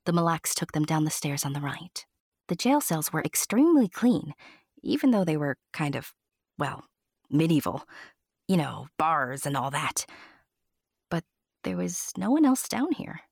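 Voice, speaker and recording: Low-voiced woman narrating a fairy tale. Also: big pitch swings, moderate pace, gravelly, long pauses, husky, no echo, clean studio sound. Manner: storyteller, addressing the listener. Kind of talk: scripted storybook narration. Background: music.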